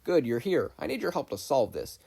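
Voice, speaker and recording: A brisk older great-uncle with an analytical, no-nonsense manner, read by a man. The voice sits a little above the narrator's low register, in an audiobook style. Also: big pitch swings, creaky, warm tone, husky, heavily acted. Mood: urgent, brisk.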